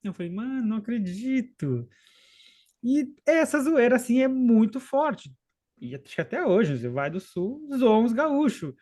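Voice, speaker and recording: A man in his late thirties from southern Brazil with a faint gaúcho accent, telling a personal story in an unhurried, halting way, with frequent restarts and trailing asides. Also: very high-pitched, very animated, a little mellow. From Rio Grande do Sul, southern Brazil. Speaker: podcast guest and co-host. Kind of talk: casual storytelling in a podcast chat.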